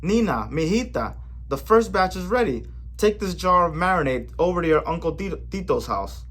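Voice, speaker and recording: A man voicing a brisk, loving old grandmother. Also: sits high, animated, a bit bright.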